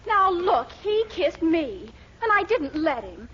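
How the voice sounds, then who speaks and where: An actress playing an earnest, lovelorn young sweetheart in a 1940s radio comedy, with an emphatic, pleading, melodramatic manner. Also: female voice, extremely high pitch, sing-song, slow, heavily acted. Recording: muffled, noisy 1940s radio recording.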